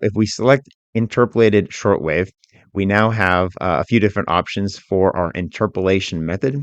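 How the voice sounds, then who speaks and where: A man working as an instructor, narrating a software tutorial in a methodical, informal, step-by-step way. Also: sits low, normal speed, clear, resonant tone, dry recording, highs cut off.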